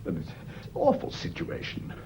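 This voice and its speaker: A man's voice: moderate pace, a little mellow, a little hiss or hum, lightly acted. A man acting in a British radio play as an indignant, evasive widowed husband, haughty and agitated, with a British accent. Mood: protesting. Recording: dull lo-fi radio recording.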